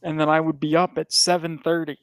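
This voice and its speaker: Gravelly profane man chatting casually. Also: a little high, flat delivery, moderate pace, no echo. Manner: rambling, profane, restarts often. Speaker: podcast host. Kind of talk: casual chat.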